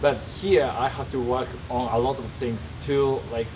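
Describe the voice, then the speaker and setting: A young man from Tokyo speaking English with a Japanese accent in an interview, careful and halting. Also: medium pitch, fairly even intonation, distant mic, over music, poor audio. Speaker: student.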